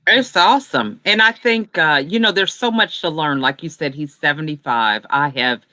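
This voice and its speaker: An interviewer asking long, encouraging questions in a lively, conversational way. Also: mid-range voice, moderate pace, dry recording. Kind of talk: casual interview.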